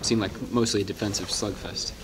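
A man's voice: fairly low pitch, fairly even intonation, a little fast, very noisy. A man, a reporter, asking plain, slightly hesitant post-game questions in a locker room.

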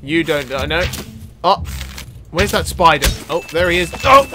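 A man's voice: on the high side, moderate pace. A man giving casual let's-play commentary, exclamatory and talking to the game's characters as he plays. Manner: exclamatory, talks to the game characters. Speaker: gaming video host. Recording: game music behind the voice. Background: video game music.

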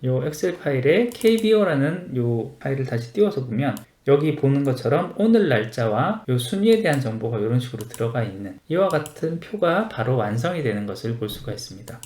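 A man narrating a step-by-step software tutorial in a polite, explanatory manner. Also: medium pitch, sing-song, a little fast, few pauses, roomy sound. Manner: explanatory, polite formal endings.

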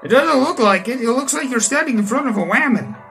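A man in his late teens hosting a let's play, reading visual novel text aloud and breaking into animated asides. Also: extremely high pitch, fairly quick, vocal fry, soft background music. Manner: animated asides, exclaiming between read passages. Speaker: gaming channel host. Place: small room indoors at home.

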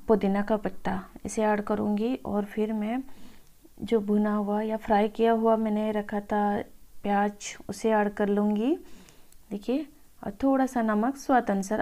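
Female home cook for informal recipe voice-over; gravelly fry, fairly even pitch, mellow tone. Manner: addresses viewers as friends. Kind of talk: informal cooking tutorial voice-over.

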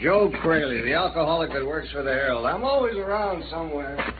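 A man's voice: fairly high pitch, expressive, a little fast, natural voice. An actor playing a flippant, streetwise male reporter with a drinking habit in a 1950s radio drama. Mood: cagey. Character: cynical hard-drinking male newspaper reporter. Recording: tinny old radio broadcast with hiss.

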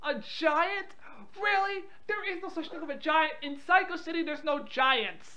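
High bright-voiced irritable woman. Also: moderate pace. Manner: irritable, confrontational. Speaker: prank call participant. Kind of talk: phone banter, reading out weather updates. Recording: dull lo-fi audio.

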